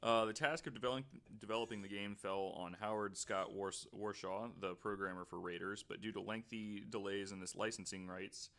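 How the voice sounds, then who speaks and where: Low-voiced man reading podcast notes. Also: steady tone, normal speed, hardly any pauses, fairly bright tone. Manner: hesitant, full of ums and restarts, reads notes at length. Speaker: podcast host. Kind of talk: casual podcast discussion, reading researched notes.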